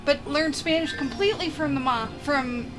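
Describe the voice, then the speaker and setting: A woman's voice: high-pitched, moderate pace, music in the background. A young woman chatting casually with a streamer friend, teasing and laughing easily. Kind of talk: casual chat.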